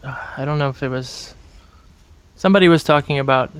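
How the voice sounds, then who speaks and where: A man's voice: medium pitch, a little fast, clear, resonant tone. A man in a casual conversation, making earnest, opinionated points and searching for words as he goes.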